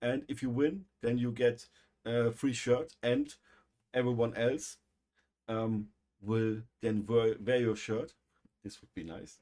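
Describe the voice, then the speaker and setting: A man with a German accent, a native German speaker, hosting a livestream and chatting casually, with a halting delivery full of pauses and fillers. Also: mid-range voice, fairly even intonation, darkish tone. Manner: halting, many fillers and restarts. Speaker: livestream host.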